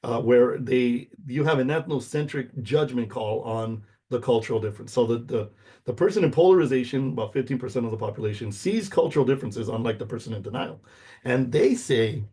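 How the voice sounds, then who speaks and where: Middle-aged man lecturing in a mellow, husky voice. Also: mid-range voice, lively intonation, a little fast. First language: Spanish. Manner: explanatory, checks in with "okay?" and "right?". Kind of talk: informal recorded lecture.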